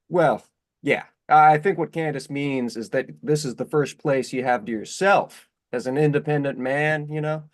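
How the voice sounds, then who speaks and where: An actor playing a blunt, well-meaning young husband in a virtual staged reading of a play. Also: male voice, on the high side, unhurried, close to an everyday voice. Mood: blunt, upbeat.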